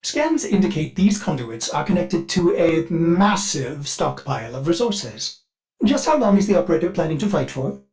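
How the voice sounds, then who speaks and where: A man's voice: a little high, big pitch swings, normal speed, darkish tone. An actor playing a fussy, devoted male ship AI in a video game, eager and anxious, with a polite, theatrical manner. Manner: polite, theatrical, refers to self in third person. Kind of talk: video game companion dialogue.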